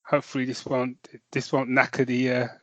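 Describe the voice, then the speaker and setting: Chatty podcast host, dry close mic. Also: male voice, mid-range voice, monotone, fairly quick, a bit bright, no echo, muffled recording. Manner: chatty, laughs often, restarts phrases. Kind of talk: casual chat.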